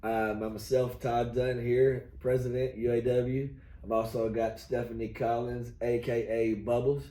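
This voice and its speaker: Hoarse middle-aged man, folksy union host, very dark tone. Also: medium pitch, flat delivery, unhurried. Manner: folksy, rambling with many fillers. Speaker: union local president, host. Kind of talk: casual video chat. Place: union hall. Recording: echoey room, faint noise.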